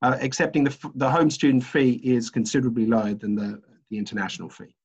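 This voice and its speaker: A man's voice: mid-range voice, flat delivery, quick pace, breathy edge. An older man with an Australian accent, giving a university webinar presentation from a home office over a video call, hesitant with many fillers. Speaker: university lecturer. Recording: dull lo-fi video call audio.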